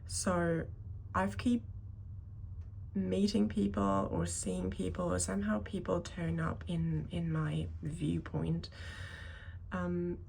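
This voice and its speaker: A thirty-something woman talks to her viewers in an unscripted vlog. Her voice sits a little low and is full of vocal fry. She rambles hesitantly, correcting herself as she goes, over faint background noise. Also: level tone, slow pace, darkish tone. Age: 30s. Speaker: video creator talking to viewers. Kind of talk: unscripted personal vlog monologue.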